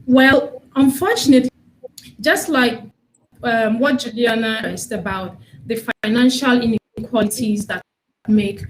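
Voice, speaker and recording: Earnest woman guest, fairly high voice. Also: a little slow, slight background noise, poor audio. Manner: earnest, with fillers and restarts. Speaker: campaign project lead. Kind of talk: studio interview. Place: studio.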